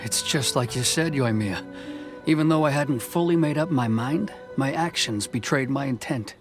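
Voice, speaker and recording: An actor playing a dutiful, conflicted officer in a video game, speaking in a measured, formal way. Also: male voice, medium pitch, lightly acted. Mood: reflective, restrained. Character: stern, dutiful officer man, conflicted but soft-hearted. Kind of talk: dramatic game dialogue acting. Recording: music behind the voice.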